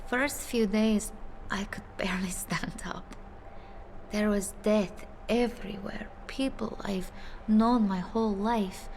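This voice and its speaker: An actress playing a haunted, fragile village woman in a video game, halting and somber, often dropping to a breathy near-whisper. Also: medium pitch, steady tone, a little mellow, a little hiss or hum, rough recording. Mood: haunted grief. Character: haunted, fragile young village woman who senses the dead. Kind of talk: video game character dialogue.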